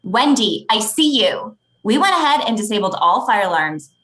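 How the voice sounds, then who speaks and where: A woman playing an officious debate moderator in a comedic table read, formal and deadpan. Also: mid-range voice, normal speed. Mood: firm, deadpan.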